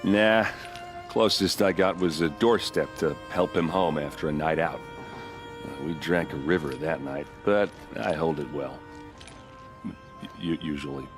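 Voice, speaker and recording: An actor playing a gruff, hard-drinking, grieving soldier in a video game, blunt and defensive but loyal. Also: male voice, extremely low pitch, measured pace, music in the background.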